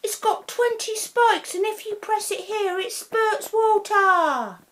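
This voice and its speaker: A woman voicing an excited little boy showing off his toy dinosaur in a storybook reading. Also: very high voice, voice pushed up, heavily acted. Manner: eager, drawn-out boasting.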